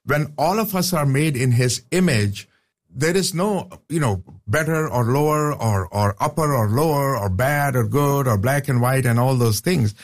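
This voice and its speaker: A man's voice: medium pitch, normal speed. A man from Pakistan, a professor talking earnestly as a radio studio guest, often restarting his sentences. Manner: earnest, with frequent restarts and "uh" fillers. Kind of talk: casual radio interview.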